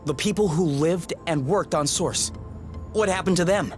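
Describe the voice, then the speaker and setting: A man's voice: a little high, moderate pace, soft background music, lightly acted. A young man playing a blunt, skeptical, principled rebel in a dramatic video game. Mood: skeptical, indignant. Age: young adult.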